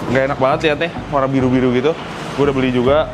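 A man's voice: mid-range voice, flat delivery, rapid-fire, over music, low-quality recording. A young man chatting casually as a vlog host, slangy and grumbling in an exasperated way. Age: young adult. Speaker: motovlog host. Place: open concrete garage forecourt by a workshop.

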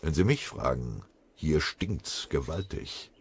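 Man doing a stubborn soldier, husky, mocking, grumbling, suspicious, audiobook character. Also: extremely low pitch, very animated, slow, exaggerated voice. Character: stubborn, suspicious young male army private.

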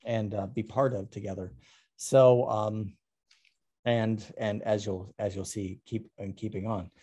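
A middle-aged man talking casually about his artwork on a video call, halting with many ums and restarts. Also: fairly low pitch, steady tone, warm tone, husky. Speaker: artist presenting his work. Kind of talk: casual talk over a slide presentation.

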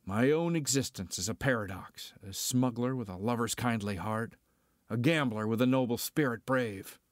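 An actor playing a cocky, roguish smuggler pilot, declaiming archaic verse in an amateur Shakespearean reading. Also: male voice, mid-range voice, moderate pace, no room sound, clean studio sound, close to an everyday voice. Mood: brooding.

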